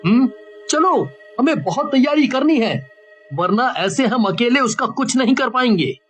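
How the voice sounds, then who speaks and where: A man voicing a worried, earnest young village man in an animated cartoon story. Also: very high voice, a little slow, faint music behind, exaggerated voice. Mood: worried, planning.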